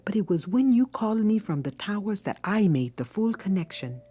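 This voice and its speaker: An actress playing a wise, gentle older woman mentor in a video game, with measured, soft, solemn delivery. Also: medium pitch, very animated, slightly rough, soft background music. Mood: grave, explanatory. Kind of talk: dramatic video game acting.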